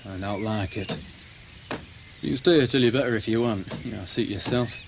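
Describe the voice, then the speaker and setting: An actor playing a matter-of-fact young charcoal burner in a radio play. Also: male voice, fairly low pitch, moderate pace, vocal fry, a little hiss or hum.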